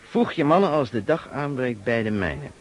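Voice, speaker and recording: An actor playing a gruff, shrewd Russian partisan officer in a radio drama, commanding and emphatic. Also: male voice, mid-range voice, animated, moderate pace, natural voice. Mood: planning, resolute. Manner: commanding, emphatic, grumbling oaths. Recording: noisy old radio play recording.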